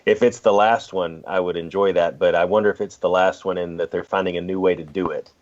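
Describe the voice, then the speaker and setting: A man's voice: low voice, fairly even intonation, brisk, a little mellow. A man co-hosting a sports podcast, chatting casually in an easygoing, slightly hesitant way. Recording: dull, lo-fi audio.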